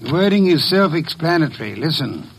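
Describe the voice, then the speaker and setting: An actor playing an erudite, imperious detective boss in an old radio drama, speaking formally and precisely in long, wordy sentences. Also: male voice, a little high, big pitch swings, brisk, vocal fry, a little hiss or hum. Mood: smug, precise. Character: pompous, erudite detective boss, sedately unmasking killers. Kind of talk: 1950s radio drama acting.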